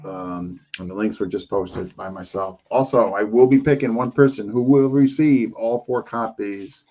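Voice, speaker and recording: A man's voice: lowish voice, normal speed, darkish tone. Upbeat male podcast host, lo-fi sound. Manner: enthusiastic, repeats praise words. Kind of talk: casual online interview.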